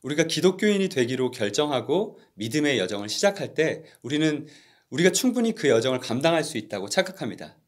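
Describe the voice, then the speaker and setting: Earnest man with lively intonation. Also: mid-range voice. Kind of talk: reflective book discussion.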